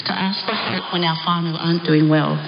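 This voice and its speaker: A woman's voice: fairly low pitch, fairly even intonation, fairly quick, creaky. A woman with a New Zealand accent giving an emphatic, repetitive parliamentary speech. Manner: emphatic repeated phrases. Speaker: party co-leader in parliament. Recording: echoey chamber, muffled noisy shortwave relay.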